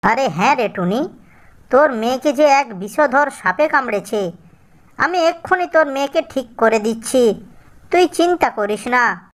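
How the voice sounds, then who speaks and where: A man's voice: very high-pitched, expressive, measured pace, a bit bright, voice pushed up, heavily acted. A man voicing a solemn, protective holy sage in a cartoon, instructing with emphasis and reassurance. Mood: reassuring.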